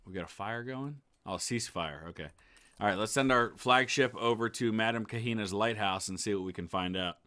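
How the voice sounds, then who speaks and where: Man, lowish pitch, casual gameplay commentary, laid-back, thinking aloud. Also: a little fast, bright, forceful tone. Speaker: gaming YouTuber. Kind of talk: casual let's play commentary.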